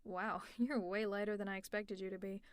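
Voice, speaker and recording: A woman's voice: medium pitch, fairly even intonation, a little fast, clean tone, no echo, close to an everyday voice. A woman playing a teasing, exasperated, secretly caring female supervillain in an ASMR audio roleplay, with a sarcastic, conversational delivery. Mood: dry, concerned.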